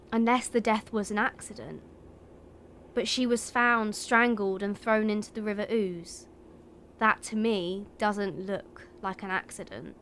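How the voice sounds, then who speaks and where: Woman acting young detective, mid-pitched voice. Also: fairly bright tone, slight background noise, lightly acted. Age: young adult. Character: young female police detective, puzzled and analytical. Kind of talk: first-person audio drama monologue.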